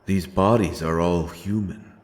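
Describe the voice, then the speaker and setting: Man acting a deep, slow, grim wandering avenger. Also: fairly even intonation. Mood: tense, demanding. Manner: brooding, solemn, halting delivery with weighty pauses. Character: grim, doomed, godless wandering avenger of dark fantasy. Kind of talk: dramatic dark fantasy audio roleplay acting.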